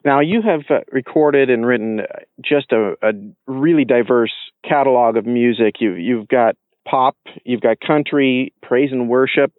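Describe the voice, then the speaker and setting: A man's voice: medium pitch, unhurried, no room sound. Male interviewer with thin phone-like sound. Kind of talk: conversational interview questions.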